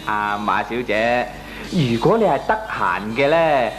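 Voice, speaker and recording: An actor playing a shameless, glib dog owner in a radio comedy drama, arguing back with a Hong Kong Cantonese accent. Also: male voice, mid-range voice, expressive, takes it slowly, fairly bright tone, soft background music. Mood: glib, jovial. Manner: glib, chuckling, argues back with lame excuses. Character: shameless, jovial dog-owning male neighbour brushing off complaints.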